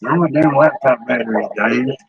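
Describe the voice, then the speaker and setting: A man's voice: mid-range voice, brisk, slightly rough, lo-fi sound. A man casually chatting on his live stream as a CB radio hobbyist, laid-back and cussing freely while he putters around. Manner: laid-back, swears freely, mumbles to himself.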